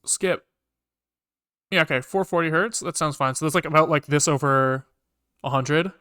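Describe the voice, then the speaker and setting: A man with a slightly high, bright voice that comes through clean and clear. He is live-streaming as a programmer, thinking out loud in an informal way and often muttering to himself. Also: moderate pace. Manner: informal, mutters to self, swears casually. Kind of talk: casual think-aloud live coding commentary.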